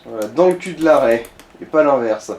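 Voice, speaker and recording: A man's voice: mid-range voice, lively intonation, a little fast, darkish tone, roomy sound, a little hiss or hum. A man chatting casually and slangily as he gives tips to someone playing a game. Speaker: co-commentator advising the player. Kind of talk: casual gaming chat, giving tips.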